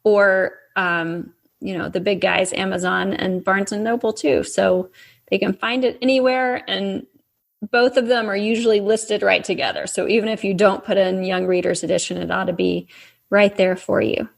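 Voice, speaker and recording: A woman's voice: medium pitch, steady tone, normal speed, vocal fry. A woman from Texas with a faint Texas accent, an author explaining things patiently to a child in a casual interview. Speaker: author guest.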